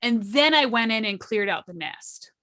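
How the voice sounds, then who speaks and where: Bright, higher-voiced woman explaining emphatically. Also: animated, moderate pace. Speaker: panelist at a tabletop roleplaying storytelling workshop. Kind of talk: casual, animated explanation of roleplaying storytelling.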